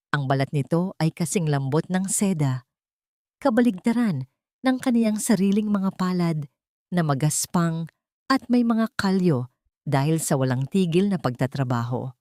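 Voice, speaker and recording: Low-voiced Filipino woman narrating a drama. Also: lively intonation, moderate pace, darkish tone, no room sound, studio quality. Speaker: audio story narrator.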